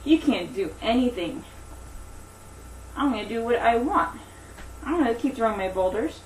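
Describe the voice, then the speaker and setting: A woman's voice: fairly high pitch, lively intonation, normal speed, roomy sound, voice pushed up, natural voice. Woman as big smug male giant, children's tale. Dismissive bully, heard from a distance with faint noise. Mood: smug, taunting. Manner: taunting, dismissive. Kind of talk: children's storybook voice.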